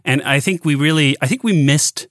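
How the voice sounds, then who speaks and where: A man's voice: fairly high pitch, normal speed, fairly bright tone, no echo, clean studio sound. A man from Denmark, hosting a casual podcast conversation, frank and emphatic, swearing freely and restarting phrases. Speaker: podcast host.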